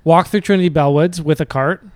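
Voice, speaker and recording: A man's voice: a little high, steady tone, fairly quick, darkish tone, smooth, no room sound. A man co-hosting a podcast, teasing and asking questions. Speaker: podcast co-host. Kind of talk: casual podcast chat.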